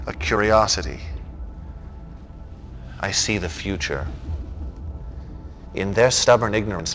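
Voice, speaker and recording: Villainous faction commander, low voice, formal and imperious, fanatical calm, video game briefing over prominent music. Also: male voice. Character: calm menacing fanatical male cult leader commanding troops. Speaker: villainous faction leader giving mission briefings. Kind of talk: 1990s video game cutscene acting.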